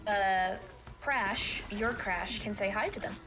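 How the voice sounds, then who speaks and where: Young woman, babyish youthful voice, medium pitch, casual livestream chat, music in background. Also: slow, rough recording. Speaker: stream co-host and emote artist. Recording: music behind the voice.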